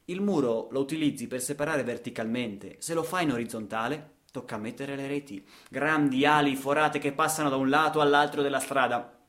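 A man's voice: medium pitch, a little fast, fairly bright tone. Male actor for a radio theatre monologue; rhythmic, dramatic storyteller delivery. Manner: dramatic, rhythmic delivery with repetitions and rhetorical questions.

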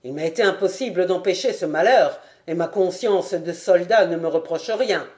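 Woman narrator voicing a dignified lieutenant defending his honour, firm and loud. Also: fairly low pitch, normal speed, close to an everyday voice. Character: steadfast male lieutenant, cool then indignant.

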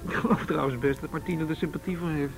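A man's voice: steady tone, fast, gravelly, soft background music, poor audio. An actor playing an earnest policeman who defends the force, talking casually and colloquially in a radio drama. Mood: defensive, earnest. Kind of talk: Dutch radio drama acting. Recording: radio play with faint rumble.

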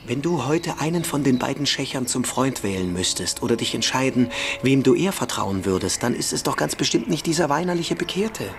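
A man's voice: mid-range voice, lively intonation, fairly quick, creaky, few pauses, slight background noise. A man playing a precocious schoolboy freethinker in a German radio play, emphatic, scornful and persuasive. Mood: mocking, insistent. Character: precocious, provocative schoolboy freethinker lecturing his younger friend.

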